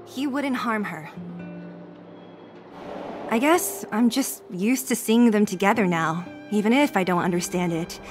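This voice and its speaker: A woman's voice: mid-range voice, a little slow, pauses often, soft background music, lightly acted. A young woman voicing a tough, blunt resistance fighter in a video game, cool and matter-of-fact. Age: young adult. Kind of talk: scripted video game dialogue.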